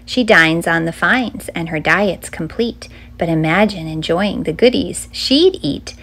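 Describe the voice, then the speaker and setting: A middle-aged woman reads a children's book aloud as a storytime host, with a clear, measured storytelling delivery. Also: fairly low pitch, animated, a bit bright. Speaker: children's author and librarian hosting a storytime.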